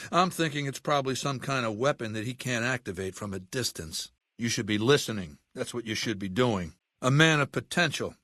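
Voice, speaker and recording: A man acting as an imperious middle-aged warlord from a video game, speaking at a medium male pitch in a very dry studio sound. He lectures in articulate, commanding sentences, with open contempt for whoever is beneath him. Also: a bit bright, no room sound, lightly acted. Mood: contemptuous, impatient.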